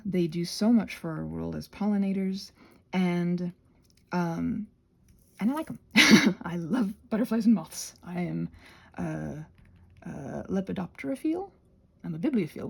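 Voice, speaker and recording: Animated woman rambling playfully, slightly husky. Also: on the low side, normal speed. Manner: playful, self-deprecating, often giggly while talking; digressive, thinking aloud while working with hands. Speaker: craft and ASMR channel creator, host. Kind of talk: casual rambling craft chat.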